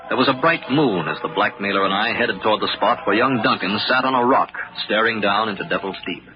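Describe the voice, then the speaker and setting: Firm, slangy private detective male actor, low-pitched, reassuring, vintage radio drama with muffled, noisy sound. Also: brisk, music in the background, natural voice. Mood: grim, measured. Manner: firm, plain-spoken, slangy. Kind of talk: old-time radio drama acting. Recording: dull, noisy old radio recording.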